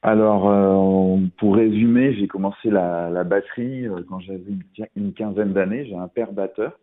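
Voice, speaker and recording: A man's voice: on the low side, steady tone, normal speed. A middle-aged man, a musician guest on a radio interview, talking casually with many fillers and restarts. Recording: tinny phone-like radio sound.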